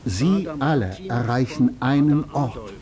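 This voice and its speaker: A man's voice: mid-range voice, lively intonation, slow, crowd noise. A man narrating a historical radio play as a grave chronicler reading a scripted account.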